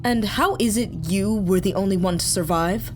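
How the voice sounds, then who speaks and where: Woman playing a defiant, sharp-tongued space traveller over music. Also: mid-range voice, moderate pace, lightly acted. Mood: challenging. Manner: sarcastic, challenging. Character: defiant, skeptical female space-mission survivor, sharp-tongued. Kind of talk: sci-fi audio drama acting.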